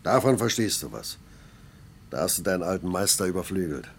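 An actor playing a blunt, sarcastic middle-aged factory master in a German radio play, gruff and colloquial. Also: male voice, low voice, expressive, a little fast, slight background noise. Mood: grumbling, sarcastic. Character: grumbling, blunt older factory master, male.

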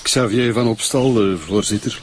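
A man's voice: mid-range voice, fairly even intonation, a little hiss or hum, close to an everyday voice. An actor playing a hesitant, polite football club chairman in a radio drama, with a Flemish Belgian accent. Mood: uneasy. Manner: halting, polite. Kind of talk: naturalistic radio drama acting.

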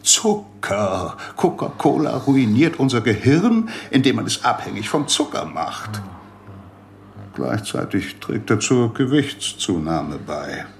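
A man's voice: lowish voice, very animated, slow pace, vocal fry, a little hiss or hum, heavily acted. A man playing a rambling, conspiracy-minded doctor in a radio play, lecturing with theatrical emphasis. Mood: emphatic, amused.

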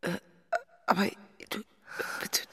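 A woman's voice: slow pace, bright, forceful tone, no echo, in a whisper, clean studio sound. An actress playing an emotional, infatuated single city woman in an intimate audio drama. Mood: flustered.

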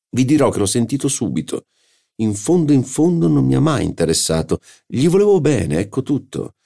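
A man's voice: fairly low pitch, mellow, pitched above the performer's own voice. A man voicing a haughty, scheming society hostess who speaks with imperious scorn in an audiobook reading.

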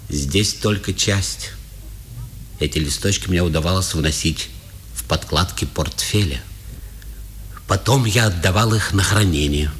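A man's voice: deep voice, unhurried, long pauses, slight background noise. An actor playing an earnest, reserved man with restrained delivery in a 1950s radio drama. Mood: earnest, subdued.

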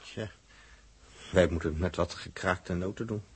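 An actor playing a suspicious, grumbling man with a husky voice and gruff, halting delivery, in 1960s Dutch radio drama style. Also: sits low, fairly even intonation, full character voice. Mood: wary, grumbling. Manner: gruff, hesitant, repeating himself.

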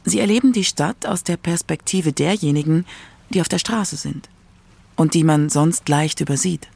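A woman's voice: low voice, normal speed, creaky, a little mellow. A woman narrating a scripted radio documentary in a factual narrator's style.

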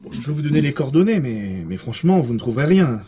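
An actor playing a flustered, chatty eccentric suspect in a French audio drama, stammering and rambling with a French accent. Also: male voice, mid-range voice, big pitch swings, fast, mellow, slight background noise, close to an everyday voice. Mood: earnest, defensive.

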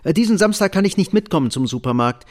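Evasive, tired husband, slightly high-voiced. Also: male voice, animated, quick pace. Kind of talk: radio drama acting.

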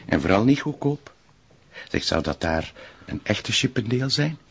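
An actor with a Flemish accent playing a calm, drily teasing police detective in a radio drama. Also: male voice, lowish voice, normal speed, breathy edge, dull audio, heavily acted. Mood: dry, teasing. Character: easygoing, teasing male police detective sidekick.

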